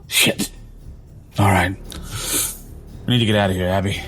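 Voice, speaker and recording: A man with a fairly low voice plays a tabletop game. He talks casually, hesitating as he thinks aloud, with faint music underneath. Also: fairly even intonation, slow pace, fairly bright tone.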